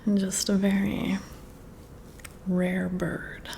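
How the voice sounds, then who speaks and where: A woman whispering up close in an ASMR roleplay as a flirty, intrigued boss, breathy and intimate with drawn-out pauses. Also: mid-range voice, monotone, mellow, slight background noise. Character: flirty, intrigued female boss giving a job interview. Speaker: ASMR performer role-playing a flirty new boss. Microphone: close-up ASMR microphone.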